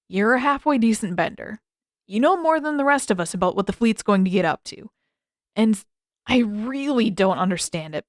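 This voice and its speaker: A woman's voice: fairly high pitch, dry recording, natural voice. A woman narrator acts out a sarcastic teenage boy from an adventure story. He talks casually and with exasperated emphasis, blunt but protective underneath. The sound is very dry, with no room around it. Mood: grudging, earnest.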